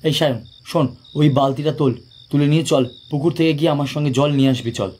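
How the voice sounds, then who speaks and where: A man's voice: fairly high pitch, a little fast, darkish tone, a little hiss or hum, natural voice. An actor playing a blunt, practical young man among friends in an audio ghost story. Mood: teasing, bossy. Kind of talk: audio drama dialogue in a Bengali ghost story.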